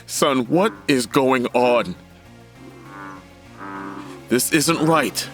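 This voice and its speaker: A man's voice: medium pitch, extremely slow, faint music behind. A man playing a bewildered, worried father in an audio drama, pleading and urgent. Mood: alarmed, bewildered.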